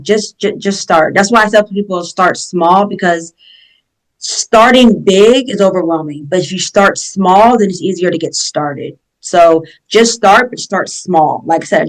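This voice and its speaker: A woman's voice: fairly low pitch, low-quality recording. A woman who is a professional organizer, giving earnest, encouraging advice in a casual podcast chat and repeating her points.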